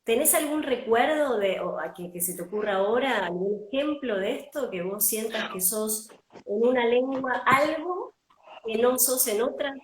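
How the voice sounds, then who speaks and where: A woman host with an Argentine accent, curious and probing, asking quick follow-up questions in a conversational interview. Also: on the high side, animated, echoey room, low-quality recording. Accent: Argentine Spanish.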